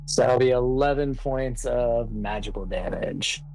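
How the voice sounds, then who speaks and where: Dude with a creaky voice playing a tabletop rpg, kinda halting casual talk, music going behind him. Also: male voice, medium pitch, darkish tone, low-quality recording. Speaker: tabletop game player. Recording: background instrumental music under voice. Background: instrumental music.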